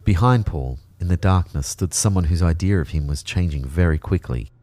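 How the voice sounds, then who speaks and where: A male actor plays an observant, self-doubting storyteller in an audio drama. His voice is deep and relaxed, recorded very dry, with a measured, reflective delivery. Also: dry recording. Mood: grave. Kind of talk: first-person audio drama narration.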